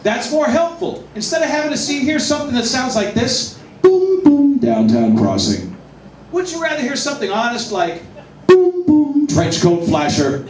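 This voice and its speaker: Boston-accented comedian, high lively voice. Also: male voice, moderate pace. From Boston, Massachusetts. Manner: wry, self-deprecating, punchy delivery with loud mock announcer shouts. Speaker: stand-up comedian. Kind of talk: stand-up comedy set. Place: Mexican restaurant, indoors. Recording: echoey room, distant mic, audience noise.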